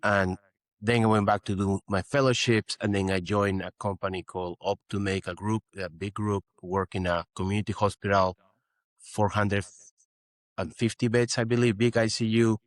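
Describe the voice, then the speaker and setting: Man from Peru, middle-aged, low voice, dry close sound, earnest explaining tone. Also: flat delivery, normal speed, no room sound. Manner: earnest, explanatory, frequent "you know". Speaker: guest physician. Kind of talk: interview conversation.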